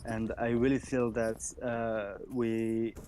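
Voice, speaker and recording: Male auction specialist, monotone, stop-start phrasing, noisy line. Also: medium pitch. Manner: halting, many "like" fillers and restarts. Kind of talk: live audio chat. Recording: noisy stream audio.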